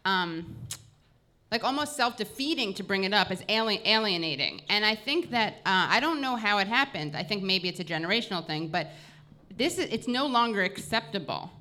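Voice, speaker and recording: Bright-voiced American woman hosting. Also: medium pitch, expressive, normal speed. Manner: informal, digressive, frequent "like". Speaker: podcast host. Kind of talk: casual live interview.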